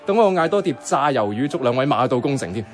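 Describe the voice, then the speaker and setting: An actor playing an eager young friend who philosophises in a Cantonese radio drama, playfully earnest, with a Hong Kong accent. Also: male voice, medium pitch, very animated, quick pace, lightly acted. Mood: enthusiastic. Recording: music clearly behind the voice. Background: music.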